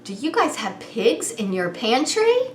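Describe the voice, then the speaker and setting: A woman's voice: a little high, wildly swooping pitch, a little slow. A woman preschool teacher hosting story time, talking straight to young children with simple questions in a playful, singsong way. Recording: roomy echo, clear background noise.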